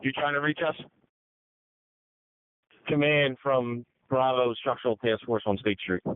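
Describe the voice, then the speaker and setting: A man's voice: mid-range voice, a little slow, clear, resonant tone, no room sound. A man working as a fire team leader, making terse calls over a two-way radio. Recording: tinny narrowband radio transmission.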